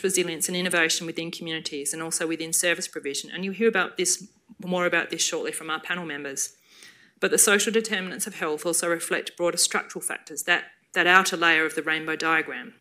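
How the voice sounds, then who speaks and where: A woman researcher giving a prepared lecture at a lectern in a university seminar room, with a formal, measured delivery. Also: on the low side, sharp tone.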